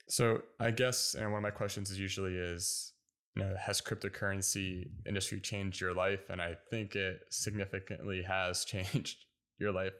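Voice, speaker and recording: A man's voice: low-pitched, fairly bright tone, clear, resonant tone. A man from the United States hosting a casual interview, asking questions and encouraging his guest in a friendly way. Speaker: interviewer, host.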